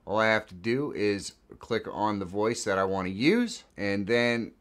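Animated American man presenting a tutorial. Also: fairly low pitch, unhurried. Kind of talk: casual software tutorial. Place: small indoor home studio.

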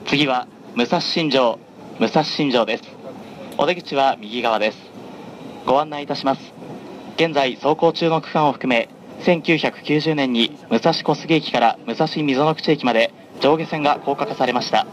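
A man with a medium-pitched voice gives formal train announcements as the conductor. His delivery is measured and polite, read from a script. The clear noise of the moving train runs along with his voice. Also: low-quality recording. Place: onboard a running train, over the announcement system. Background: train running noise.

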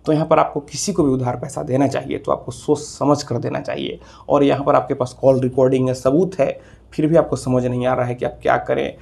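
Husky, even-toned man explaining. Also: mid-range voice, brisk. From India. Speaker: legal advice video host. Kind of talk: explanatory legal advice to camera. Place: small office room, indoors.

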